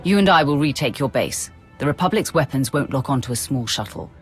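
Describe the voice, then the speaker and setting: A woman's voice: rumbling low, animated, brisk, breathy edge, soft background music, full character voice. An actress playing a confident, imperious female Sith warrior in a video game, haughty and assured. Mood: firm.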